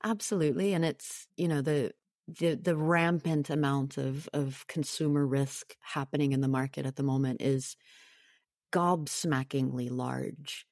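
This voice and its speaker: Woman, low register, earnest podcast talk with restarts; dry close mic. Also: steady tone, measured pace, darkish tone, no room sound. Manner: earnest and reflective, thinks aloud, repeats words, laughs at herself. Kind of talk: casual podcast conversation.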